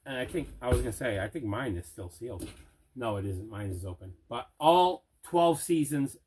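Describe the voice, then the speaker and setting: A man chats casually as the host of an unboxing video. His voice sits in a middle pitch, and he leans on simple, emphatic, repeated phrases to show his enthusiasm. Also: expressive, moderate pace, darkish tone, slight background noise.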